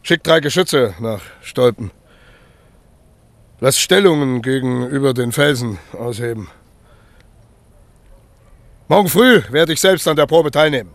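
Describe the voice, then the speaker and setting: A man's voice: medium pitch, animated, measured pace, pauses often, no room sound. An actor playing a commanding king in a radio play, barking orders and turning brooding. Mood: imperious. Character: commanding, pleasure-seeking middle-aged male king. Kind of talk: radio drama acting.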